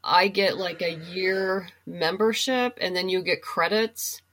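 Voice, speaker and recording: Low-voiced woman chatting as guest. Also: fairly even intonation, takes it slowly, fairly bright tone, low-quality recording. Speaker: podcast guest, writer. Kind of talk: casual interview chat.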